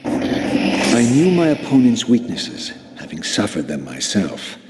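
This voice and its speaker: Low-voiced, haughty undead vampire noble. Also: male voice, huge pitch swings, slow pace, very noisy, exaggerated voice. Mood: coolly reflective. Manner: haughty, brooding, formal theatrical diction. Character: proud, bitter, resurrected male vampire nobleman turned wraith. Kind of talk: dramatic video game narration.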